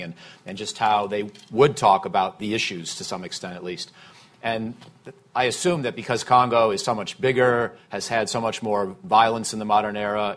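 A man moderating a panel discussion, polite and conversational as he asks the questions. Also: on the low side.

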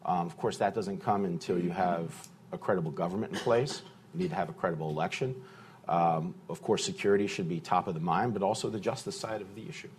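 A man speaking as a panelist in a discussion, answering questions in a measured, thoughtful way with fillers and restarts. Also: deep voice, darkish tone, a little hiss or hum.

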